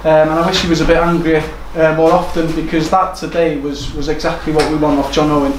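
A man's voice: fairly high pitch, little pitch movement, fast. A man in his thirties, a football manager giving an earnest post-match interview in a small room. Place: small room indoors overlooking a football pitch. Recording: echoey room with background noise.

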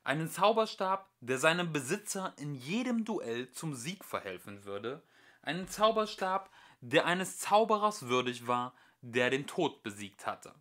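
Bright-voiced man reading a storybook expressively. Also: medium pitch. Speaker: amateur audiobook reader.

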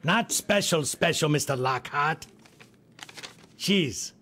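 An actor playing a bossy, condescending crime boss in a film noir game, smug and commanding. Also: male voice, on the high side, animated, slow pace, pushed and bright, a little hiss or hum, heavily acted. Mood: exasperated.

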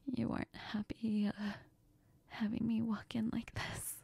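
A woman acts out a heartbroken young woman in an intimate audio drama, tearful and apologetic. She speaks slowly and haltingly, often dropping to a whisper, with a creaky catch in the voice. The sound is close and dry. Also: on the high side, completely flat delivery, dark tone, dry recording, exaggerated voice. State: crying. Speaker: audio role-play performer. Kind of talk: intimate girlfriend audio role-play, ASMR-like.

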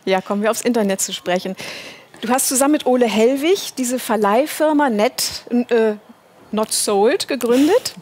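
A middle-aged woman with a fairly high voice hosts a studio talk show. She puts questions and introductions to her guest in a conversational interview style. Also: normal speed, darkish tone.

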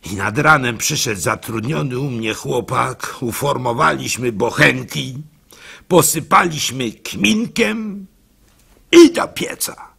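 A man telling a literary story in the first person, theatrical and expressive, with varied intensity. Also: mid-range voice, slow pace, vocal fry, slightly rough.

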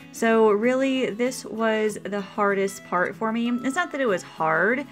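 A woman talks through her meal prep in a relaxed, practical voice-over, pitched in the middle of the range. Soft music plays faintly under her voice. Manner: chatty, practical, explaining step by step. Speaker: meal prep video host. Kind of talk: casual cooking voice-over.

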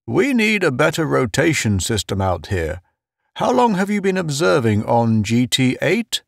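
A man voicing a solemn, judicious space service visitor in an audiobook, speaking in a grave, measured way. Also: medium pitch, big pitch swings, creaky, dry recording, studio quality, pitched above the performer's own voice. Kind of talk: audiobook character voice.

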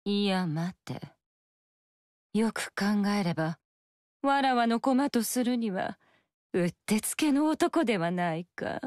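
A scheming, seductive fox spirit in a video game, coy and languid. She speaks slowly, her pitch rising and falling in a lilting way. The studio sound is dry and close. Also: lively intonation, darkish tone, dry recording.

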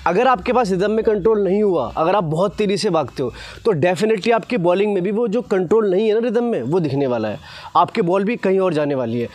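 A man, explaining cricket technique like a coach, often checking in with short tag questions. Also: high-pitched. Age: 20s. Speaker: cricket fast-bowling coach, presenter. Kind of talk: instructional explanation to camera. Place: open grassy cricket ground, outdoors. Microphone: lapel mic.

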